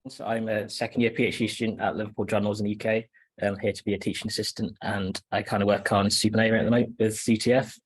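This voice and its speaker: Young male student, casual self-introduction, low and husky. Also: little pitch movement, fast. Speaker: PhD student and teaching assistant.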